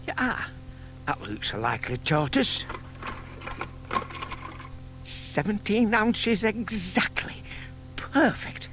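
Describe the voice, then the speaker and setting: An actor playing a shy, kindly older British gentleman with a secret scheme, polite and given to muttering, in an audio drama. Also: high-pitched, sing-song, takes it slowly, pauses often, full character voice. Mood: absorbed, careful. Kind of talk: audio theatre acting. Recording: faint noise, 1990s tape dramatisation.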